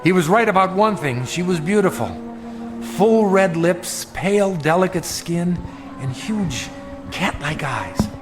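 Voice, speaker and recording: A man's voice: high voice, lively intonation, a little slow, music in the background. A man playing a troubled, earnest middle-aged dreamer in a radio drama, confiding in an intense, hesitant, brooding way. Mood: haunted, recounting. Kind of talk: radio drama acting, confessional narration.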